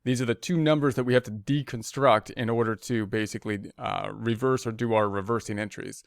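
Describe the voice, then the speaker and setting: A man's voice: fairly low pitch, fairly even intonation, a little fast, gravelly, no room sound, clean studio sound. A man giving an informal tutorial as an instructor, explaining conversationally and working through figures aloud. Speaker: accounting instructor.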